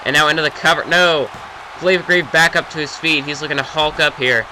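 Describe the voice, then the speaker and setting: A young man doing excited play-by-play for wrestling matches. His voice jumps up loudly on big moves, and he often restarts his phrases. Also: fairly high pitch, brisk, background noise. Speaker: play-by-play commentator for his own video game matches.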